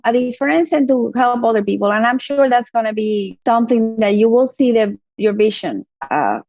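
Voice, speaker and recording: A woman's voice: on the high side, a little mellow, no room sound, lo-fi sound. A woman hosting a podcast interview, encouraging and asking strings of rephrased questions. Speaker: podcast host. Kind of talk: casual interview conversation.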